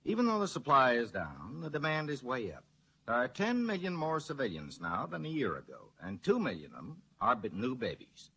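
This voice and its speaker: Explanatory male grocer; 1940s radio ad style, forceful, husky, animated. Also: mid-range voice, normal speed, heavily acted, rough recording. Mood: earnest. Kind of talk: 1940s radio advertisement acting.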